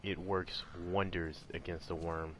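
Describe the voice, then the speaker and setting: Low-voiced man casually commentating a game. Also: level tone, a little slow, a bit bright, a little hiss or hum. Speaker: video game streamer.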